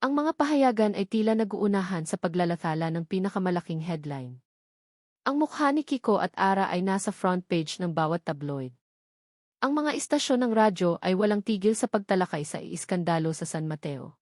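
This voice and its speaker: A woman's voice: fairly low pitch, expressive, normal speed, darkish tone, no echo, studio quality. A woman with a Filipino accent narrating a dramatic love story from a script.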